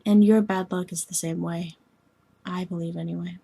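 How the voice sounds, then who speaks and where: A woman voicing a formal, gently meddling young alien woman in scripted dialogue, speaking in a measured, precise, deadpan way. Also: fairly low pitch, vocal fry, breathy edge. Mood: matter-of-fact.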